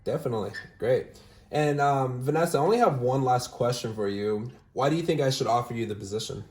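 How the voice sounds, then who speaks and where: A young man talks through a recruiting routine in a loose, upbeat way, dropping "all right?" after nearly every point. His voice sits at an ordinary middle pitch. The room around him rings with noticeable echo. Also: brisk, darkish tone. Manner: upbeat, filler-heavy, says "all right?" often. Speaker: recruiting manager demonstrating callbacks. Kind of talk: casual screen-share walkthrough, then phone interview. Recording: roomy echo.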